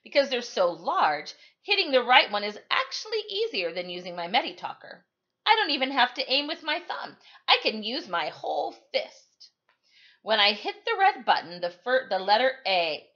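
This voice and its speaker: Woman, expressive storytime read-aloud, swooping intonation, mid pitch, dull lo-fi recording. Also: normal speed. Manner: animated, emphatic storytelling with acted dialogue. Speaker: teacher reading a novel aloud.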